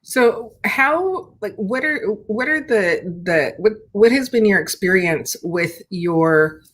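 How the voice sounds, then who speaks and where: A woman's voice: medium pitch, lively intonation, takes it slowly. A woman hosting a conversational interview, rephrasing her question several times as she works it out. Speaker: host.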